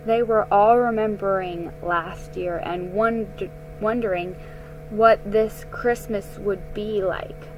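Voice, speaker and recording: A woman giving a dramatic reading of a story, halting and repeating words as she goes. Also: medium pitch, steady tone, darkish tone, faint music behind, rough recording. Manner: slow, halting, stumbles and rereads words. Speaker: storybook reader.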